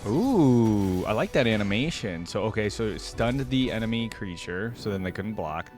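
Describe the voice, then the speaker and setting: A man's voice: fairly low pitch, animated, normal speed, a little mellow, faint music behind. A man hosting a casual reaction video, informal and thinking aloud as he comments.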